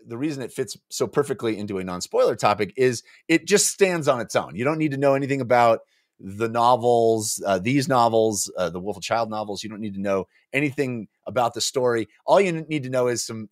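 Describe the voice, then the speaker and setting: Animated middle-aged male podcast host. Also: medium pitch, brisk. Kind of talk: lively casual chat. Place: small home studio. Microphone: close microphone on boom arm.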